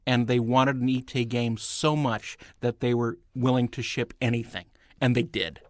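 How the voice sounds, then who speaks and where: A man's voice: medium pitch, steady tone, normal speed, no echo, studio quality. A man giving his opinions as a panelist in a casual podcast discussion.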